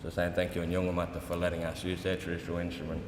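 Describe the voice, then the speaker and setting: Low, even-toned young Australian man, echoey hall. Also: very fast. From South Australia. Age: young adult. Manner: relaxed, colloquial, unscripted. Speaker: dance group leader and language teacher giving a welcome. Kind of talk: informal welcome speech to a conference. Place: large reverberant hall at a conference. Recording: echoey hall with long reverb, background noise.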